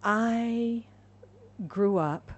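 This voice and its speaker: A woman's voice: on the high side, extremely slow. A woman from the United States preaching a sermon, emphatic and repeating her key phrases for the congregation. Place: church in Hong Kong.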